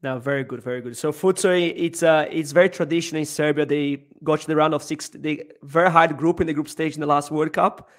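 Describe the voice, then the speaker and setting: A man's voice: a little high, fairly even intonation, fairly quick, hardly any pauses. A man in his thirties hosting a futsal podcast, asking questions eagerly over a headset on a video call. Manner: enthusiastic questioner, some restarts. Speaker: podcast host.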